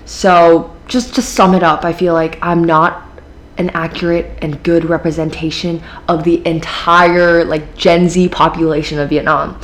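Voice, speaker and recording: A woman's voice: on the low side, little pitch movement, a little slow. A teenage woman with an American accent hosting her own podcast, talking casually with lots of fillers and an upbeat, chatty manner. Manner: chatty, filler-heavy, upbeat with frequent laughs. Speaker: podcast host. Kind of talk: casual solo podcast talk.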